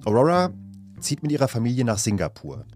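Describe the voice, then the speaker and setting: A man hosting a news podcast, narrating a scripted report in a calm, measured way from an office. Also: medium pitch, faint music behind. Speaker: podcast host.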